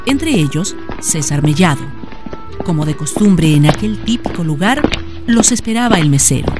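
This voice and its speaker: A woman giving scripted narration for a historical radio drama in a measured, formal storytelling manner. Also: sits low.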